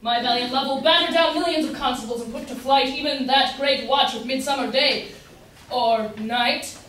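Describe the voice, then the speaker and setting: A woman playing a foolish, lovesick young gentleman in a staged reading of a period comedy, with declamatory, melodramatic delivery. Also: high-pitched, moderate pace. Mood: fervent, bombastic. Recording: echoey room from far off, faint noise.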